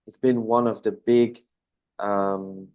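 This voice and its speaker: A man's voice: on the low side, slow, dull audio. Belgian man, easygoing interview guest. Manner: relaxed, with fillers and self-corrections. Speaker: interview guest, business owner. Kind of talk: casual interview answers.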